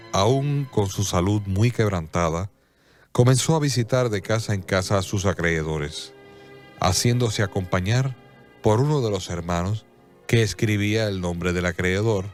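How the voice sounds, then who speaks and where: A man narrating a scripted religious radio drama in a solemn storytelling manner. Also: lowish voice.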